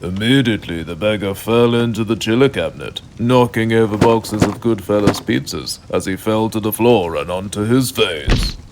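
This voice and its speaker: A man's voice: on the low side, normal speed, hardly any pauses, noisy background. A British man narrating a mock bedtime story in an avuncular, mock-cosy way.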